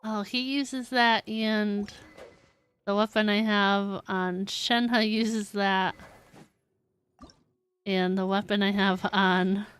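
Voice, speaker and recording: A woman's voice: medium pitch, steady tone, slow, long pauses, fairly bright tone, smooth. A woman livestreamer chatting casually with viewers over a game, in a playful, teasing, giggly way. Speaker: livestreamer, VTuber. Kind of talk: casual gaming stream chat with viewers.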